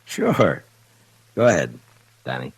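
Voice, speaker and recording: An actor playing a steady, laconic US Marshal in an old-time radio western, calm and plainspoken with an American accent. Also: male voice, a bass voice, takes it slowly, breathy edge, no room sound, exaggerated voice. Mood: calm, gently probing. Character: steady, laconic middle-aged male frontier US Marshal. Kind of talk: old-time radio western acting and first-person narration. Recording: vintage radio recording, music behind.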